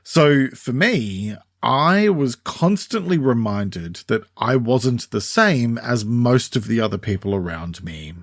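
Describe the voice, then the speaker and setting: A middle-aged man with an Australian accent hosting a scripted solo podcast in a measured, deliberate, conversational way. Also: mid-range voice, lively intonation, no echo, studio quality. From Sydney, Australia. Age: 40s or 50s. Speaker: podcast host, mental health counsellor.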